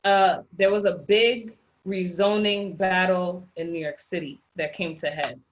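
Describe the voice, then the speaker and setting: A woman's voice: on the low side, steady tone, unhurried, a little hiss or hum. Haitian-accented woman giving a housing rights workshop, hammers her points home, muffled call audio. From Haitian background. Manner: emphatic, repeats key points. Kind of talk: presenting slides in a workshop.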